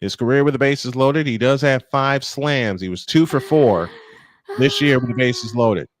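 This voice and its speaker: A man's voice: medium pitch, moderate pace. A man doing live baseball play-by-play, folksy and chatty as he banters with co-hosts. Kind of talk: live sports commentary with casual banter.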